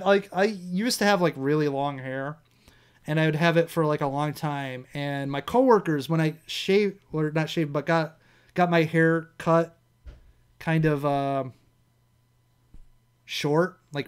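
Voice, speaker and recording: A man's voice: on the high side, smooth. A young man with a Midwestern American accent who talks casually and rambles. He keeps correcting himself, swears, and laughs at his own mistakes. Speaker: vlog show host. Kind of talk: casual solo commentary, reading quiz items aloud.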